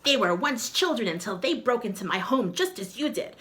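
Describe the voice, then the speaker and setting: A woman's voice: fairly high pitch, big pitch swings, normal speed, fairly bright tone, voice pushed up. A woman voicing a mean old witch in a storybook, with a cracked, screeching voice. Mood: gloating.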